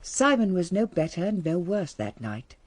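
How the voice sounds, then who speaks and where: An actress playing a composed, genteel middle-aged English lady in a radio drama, speaking with measured grace. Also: fairly low pitch, big pitch swings, darkish tone, no echo. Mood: grave recollection. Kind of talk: radio drama acting and first-person narration.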